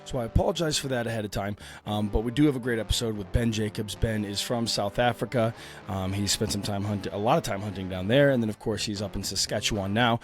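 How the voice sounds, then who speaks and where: A man hosting a podcast interview while losing his voice from a cold, chatting in a friendly, halting way with many fillers. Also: on the low side, soft background music. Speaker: podcast host. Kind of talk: casual interview chat.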